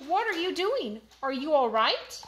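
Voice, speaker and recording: An anxious, excitable little boy from a storybook, played by a woman reading aloud. The voice is high and pleading, on a recording with clear background noise. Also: unhurried. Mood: worried, calling out. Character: anxious young boy who overfed his pet fish.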